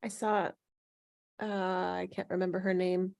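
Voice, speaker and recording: A woman hosting a casual group chat in a relaxed conversational way. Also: on the low side, measured pace, creaky. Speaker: host.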